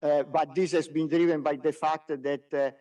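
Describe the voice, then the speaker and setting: A man's voice: fairly high pitch, fairly even intonation, normal speed, dark tone. A man who is a non-native English speaker gives a business results presentation as a company executive, hesitating often and repeating words. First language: not English. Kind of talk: business presentation on a conference call. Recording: distant mic, conference call audio.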